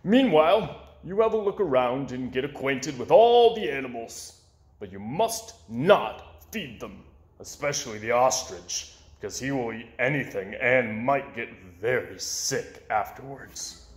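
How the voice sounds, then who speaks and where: A man performing a bossy, excitable storybook show director. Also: mid-range voice, a little slow, roomy sound, voice pushed down, natural voice. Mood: stern.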